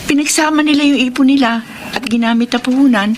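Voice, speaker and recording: Casting: actress as self-reliant young woman, fairly high voice, Filipino radio drama, noisy recording. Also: quick pace, lightly acted. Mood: resigned, firm. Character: young woman, responsible, sorrowful, self-reliant eldest sister.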